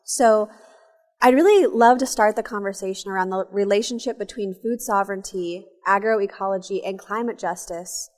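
A woman's voice: mid-range voice, normal speed. Earnest woman podcast host, reverberant. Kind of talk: reading ads and intro, then conversational interview. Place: cafe, live recording.

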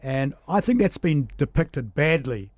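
A man's voice: a little high, big pitch swings, measured pace, darkish tone, dry recording. A man hosting a radio political panel, plainspoken and conversational. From Samoa. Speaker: radio host.

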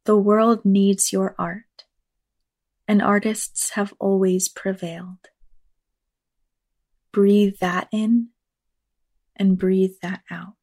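Slow-paced woman guiding a meditation. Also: medium pitch, flat delivery, a little mellow. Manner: measured, unhurried, with long pauses. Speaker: meditation guide, actor and teaching artist. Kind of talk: guided meditation, slow scripted narration.

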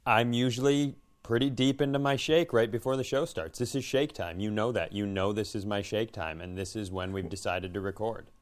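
A man's voice: lowish voice, a little fast, few pauses. An American man chatting and telling funny stories casually as a podcast co-host. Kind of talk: casual comedic chat and storytelling.

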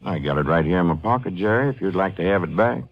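Dry, easygoing sheriff, muffled sound. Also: male voice, deep voice, expressive, fast. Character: calm, wry male county sheriff, the Ranger's partner. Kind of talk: 1950s radio drama acting. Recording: dull, band-limited old radio recording.

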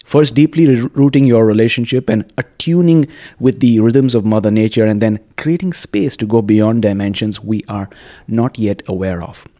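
Mellow-voiced male podcast host. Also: on the low side, normal speed. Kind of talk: podcast interview with scripted introduction and casual chat. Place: quiet indoor room at a wellness space.

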